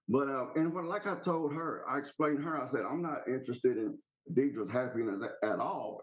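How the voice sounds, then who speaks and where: A man's voice: medium pitch, rough recording. Blunt folksy Southern man. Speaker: podcast caller. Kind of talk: casual opinionated chat.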